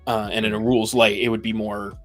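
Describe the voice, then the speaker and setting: A man explaining his view in a measured, analytical way during a casual discussion. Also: on the low side, little pitch movement, soft background music. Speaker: discussion participant.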